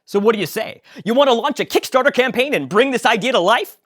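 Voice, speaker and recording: A woman voicing an eccentric, excitable male neighbor who pitches schemes at speed. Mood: excited. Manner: rapid, excitable salesman pitch. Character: fast-talking eccentric man, scheming neighbor.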